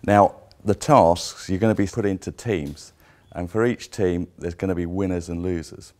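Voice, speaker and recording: Deep-voiced man judge. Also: normal speed, a little mellow. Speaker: competition judge. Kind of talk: addressing contestants.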